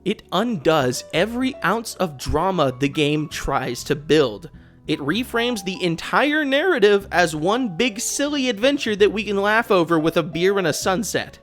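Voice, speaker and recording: Expressive video essayist, sarcastic game commentary, lively swooping pitch, exasperated delivery, faint music behind. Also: unhurried. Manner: sarcastic, exasperated, swearing rant. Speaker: video essayist, channel host.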